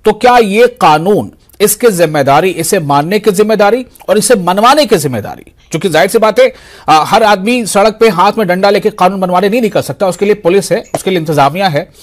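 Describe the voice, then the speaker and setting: A man's voice: high-pitched, animated, a little fast. A man moderating a live news debate as host, putting probing, insistent questions and cutting in.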